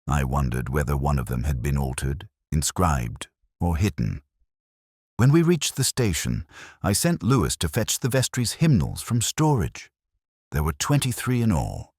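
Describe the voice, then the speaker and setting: Male narrator for detective fiction: extremely low register, expressive pitch movement, measured and brooding, close dry sound. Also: breathy edge, dry recording. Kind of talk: scripted first-person detective story narration.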